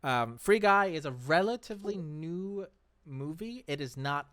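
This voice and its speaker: A man's voice: fairly high pitch, expressive, a little slow, a bit bright, no echo. A man hosting a podcast in a casual, conversational style. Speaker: podcast host. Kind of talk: casual podcast discussion of movies.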